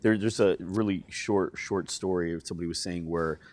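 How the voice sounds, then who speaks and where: A man hosting a casual interview, mostly giving short agreeing replies and relaxed storytelling. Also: low-pitched, moderate pace. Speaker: podcast host.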